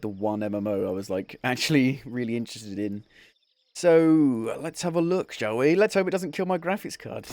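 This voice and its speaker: A man's voice: medium pitch, a little mellow, clean tone, dry recording. Chatty man streaming a game. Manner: rambling, chuckling, self-deprecating. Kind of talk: casual let's-play commentary.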